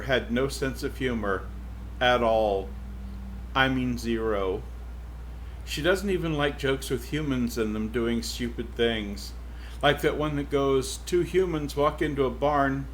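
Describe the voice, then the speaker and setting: A man's voice: mid-range voice, animated, a little slow, a bit bright, slight background noise, rough recording. A man reading aloud as a witty, digressive female cow narrating her story. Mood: wry.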